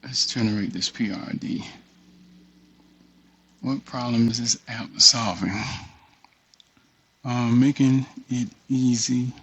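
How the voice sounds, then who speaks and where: A man giving a casual screen-share walkthrough, thinking aloud in a halting mutter and reading text off the screen. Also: mid-range voice, a bit bright, husky. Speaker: tutorial presenter. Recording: dull, muffled lo-fi audio.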